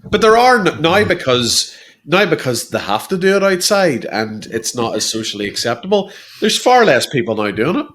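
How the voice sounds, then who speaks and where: Man, podcast co-host, wry and animated, bright tone. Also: a little high, moderate pace. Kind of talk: casual banter.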